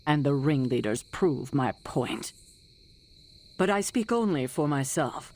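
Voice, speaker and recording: A woman voicing a stern, weary team leader in a game, speaking in a measured, earnest, formal way. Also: low voice, lively intonation, a little mellow, exaggerated voice. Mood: grave.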